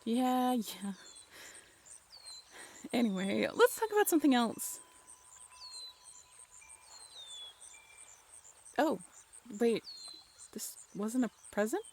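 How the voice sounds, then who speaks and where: A woman acting a bashful, affectionate young writer on a date in an intimate audio roleplay, halting and playfully teasing. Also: on the high side, big pitch swings, a little mellow, a little hiss or hum, exaggerated voice. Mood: playfully defensive, then surprised, touched.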